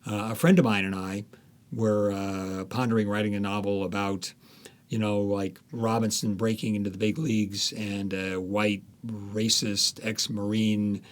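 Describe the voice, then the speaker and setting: Male author guest, lowish voice, conversational interview storytelling, slips into animated quoted asides. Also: a little slow.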